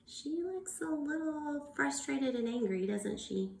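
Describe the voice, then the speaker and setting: A woman's voice: high voice, animated, a little slow, gravelly, reverberant, slight background noise. A woman teacher reading aloud to young children, encouraging them with questions and exaggerated enunciation. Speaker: early-years teacher.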